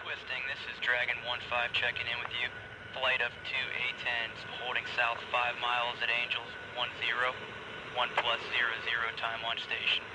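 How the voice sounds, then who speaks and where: A man playing a steady military pilot, giving clipped reports over a radio. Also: medium pitch, moderate pace. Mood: matter-of-fact. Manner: clipped, flat. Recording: very noisy military radio transmission.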